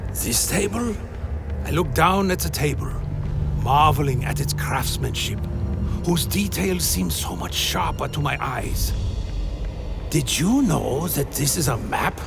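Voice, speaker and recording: A man playing a grand inquisitor in a dreamy, defiant blood trance, narrating a dark fantasy radio play in slow, deliberate, literary prose. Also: on the high side, animated, gravelly. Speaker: narrator and lead character. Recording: dramatic music behind. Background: loud music bed.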